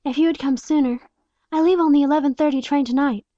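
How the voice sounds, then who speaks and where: A woman doing a gentle, refined lady singer. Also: high-pitched, fairly even intonation, quick pace, dry recording, dull audio, natural voice. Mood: earnest. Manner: gentle and earnest.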